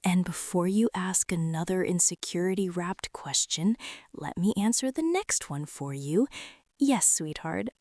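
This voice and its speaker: Young woman doing a devoted college girlfriend for asmr roleplay, mellow and slow, talks right to you. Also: on the low side, lively intonation, dry recording, clean studio sound. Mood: tender, insistent. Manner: soft, intimate, reassuring, direct address to listener. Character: devoted, earnest college girlfriend, tender and bold. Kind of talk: girlfriend ASMR roleplay, intimate scripted monologue. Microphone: close, dry microphone.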